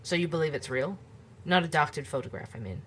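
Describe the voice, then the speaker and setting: A calm, curious investigator, played by a man, who asks gently probing questions. His delivery is measured and tactful, with a faint hiss in the background. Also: a little high, natural voice.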